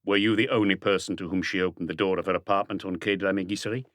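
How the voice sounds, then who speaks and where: A man's voice: sits low, fairly even intonation, rapid-fire, a bit bright, no room sound, studio quality. A man voicing a probing, persistent police detective who presses firmly with pointed questions in an audiobook mystery.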